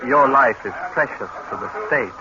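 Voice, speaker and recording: A man performing a serious young scientist in a vintage radio play, formal and exacting, with a mid-pitched voice. The old recording is muffled and heavily noisy. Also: moderate pace, close to an everyday voice. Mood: grave. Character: earnest, exacting young scientist, deferential but insistent. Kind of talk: 1930s radio acting.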